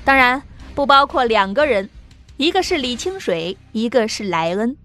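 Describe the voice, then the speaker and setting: A woman's voice: fairly high pitch, big pitch swings, moderate pace, a bit bright. A woman narrating a science fiction audiobook in a scripted, dramatic storytelling style. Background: background music.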